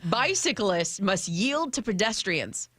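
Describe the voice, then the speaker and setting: A woman hosting lively, unscripted radio chat and putting questions to colleagues at a close studio microphone. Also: medium pitch, slow pace, slightly rough, dry recording. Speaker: radio traffic reporter and quiz host.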